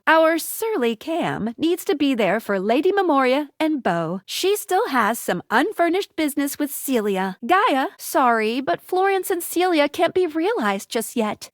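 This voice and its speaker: A woman playing a perky, playful, helpful female guide character in a video game, delivering animated, punning scripted lines. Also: high-pitched, dry recording, clean studio sound. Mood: brisk, helpful. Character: perky, quippy, helpful female guide to dateable household objects.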